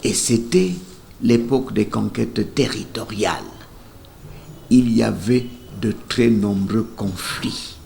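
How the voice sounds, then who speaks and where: Male expert interviewee, unhurried professorial emphasis, gravelly fry, light background noise. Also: on the low side, steady tone, a little mellow. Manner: deliberate, emphatic, professorial phrasing. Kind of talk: explanatory interview commentary. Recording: faint ambient background noise.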